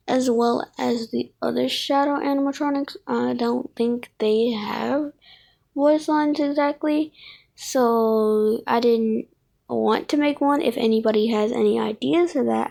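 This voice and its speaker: A woman's voice: a little high, creaky, a little mellow. A woman hosting casual fan commentary on game characters, thinking aloud and often correcting herself. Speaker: video creator, host.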